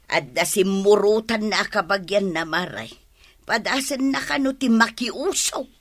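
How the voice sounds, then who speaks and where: A woman's voice: medium pitch, expressive, a little slow, no echo, studio quality. An actress playing a gossipy village woman in an Ilocano radio drama. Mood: gossiping. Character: gossipy village woman sharing news with friend.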